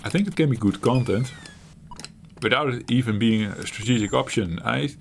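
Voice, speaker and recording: A middle-aged man hosting his retro gaming channel, talking while he plays in a halting, reflective way, searching for words and laughing at himself. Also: mid-range voice, vocal fry, slight background noise. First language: Dutch. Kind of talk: reflective gameplay commentary.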